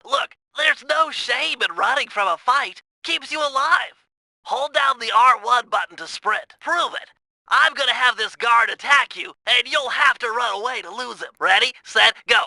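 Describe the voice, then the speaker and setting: Nerdy turtle strategist, piercingly bright, creaky edge, nasal, precise, earnest, video game tutorial, dry close recording. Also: male voice, very high voice, animated, moderate pace, no echo, full character voice, rough recording. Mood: coaching, confident. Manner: precise, instructional, wordy and technical. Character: brainy nerdy turtle mission planner, male, earnest and fussy.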